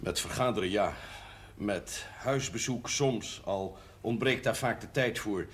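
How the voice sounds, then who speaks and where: A man's voice: lowish voice, unhurried, lightly acted. A man acting an eager, plain-spoken parish priest in a television period drama, deferential yet persuasive. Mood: insistent.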